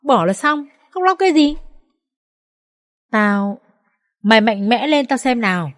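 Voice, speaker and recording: A woman's voice: on the low side, normal speed, a little mellow, no room sound, studio quality, lightly acted. A woman voicing a blunt, fiercely loyal young woman who scolds coarsely and emphatically in an audio story. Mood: angry scolding. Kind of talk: dramatised audio story dialogue.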